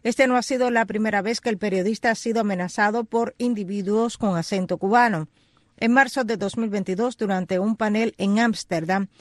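High-pitched male news anchor, dry sound. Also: no room sound. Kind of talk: scripted news narration.

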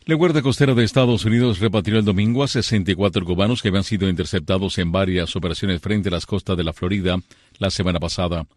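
A male news anchor reads the bulletin in a measured, formal way. His voice sits very low. The sound is dry and close to the mic. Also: no echo.

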